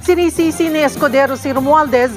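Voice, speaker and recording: A Filipino woman anchor reads scripted news in a high voice. Faint music plays behind her as she delivers the report. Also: fairly quick. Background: background music.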